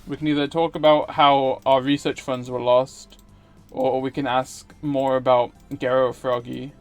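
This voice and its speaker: A man's voice: mid-range voice, little pitch movement, unhurried. A man streaming a visual novel, reading it aloud theatrically and dropping in casual asides. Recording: music behind the voice. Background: game music.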